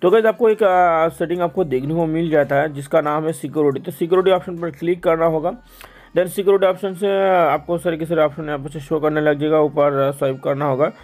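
A man's voice: on the high side, fairly even intonation, brisk, darkish tone. A man hosting a casual step-by-step phone tutorial, addressing viewers directly and repeating his instructions. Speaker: tech tutorial host. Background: background music.

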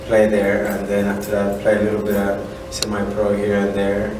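Male soccer coach, thirties, native Spanish speaker with Central American accent, halting and casual, very echoey room, distant from mic. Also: lowish voice, monotone, background noise. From Honduras. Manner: halting, repeats "you know". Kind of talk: casual interview answers. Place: indoor room at a college.

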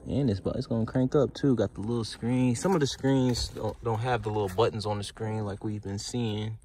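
A man's voice: on the low side, fairly even intonation, moderate pace, mellow. A man giving a casual, teasing walkaround of wrecked cars at an outdoor auction lot, filmed on a handheld phone, talking to viewers as y'all. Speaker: car flipping vlogger. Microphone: handheld phone camera. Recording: phone video with outdoor noise.

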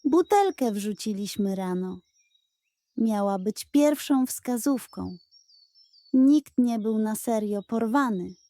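A woman voicing a small, excitable child frog with a squeaky way of talking in a children's story. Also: slow pace, dry recording. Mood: explaining eagerly. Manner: squeaky, crying out.

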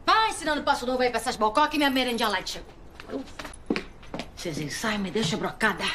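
An actress playing a vain, bossy forró singer diva from a TV soap, speaking. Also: female voice, a little high, animated, fairly bright tone, a little hiss or hum. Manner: bossy, sassy, quick-tongued. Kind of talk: TV soap opera acting.

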